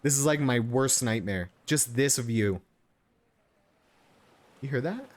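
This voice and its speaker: A man's voice: medium pitch, slow. A man giving casual live commentary as a game streamer, informal and joking, sometimes reading on-screen text aloud.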